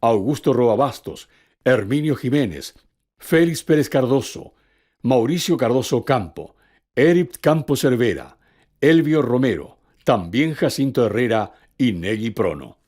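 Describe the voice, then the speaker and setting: A man's voice: medium pitch, animated, unhurried, a little mellow. A man delivering scripted narration as the narrator of a documentary.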